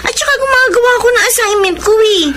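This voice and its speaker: A young schoolgirl in a radio drama, with a tiny, extremely high voice. She is earnest and childlike, chiding or pleading like a little sister. Loud noise covers the recording. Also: fast talker. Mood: reproachful. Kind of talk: Filipino radio drama acting. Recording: very noisy radio drama broadcast.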